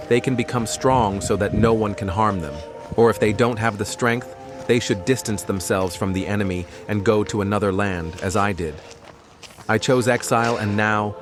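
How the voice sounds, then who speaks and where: A man whose first language is Persian, narrating a travel vlog in an earnest, prayerful, unhurried way. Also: lowish voice. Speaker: travel vlogger. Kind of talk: reflective voice-over narration. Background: music.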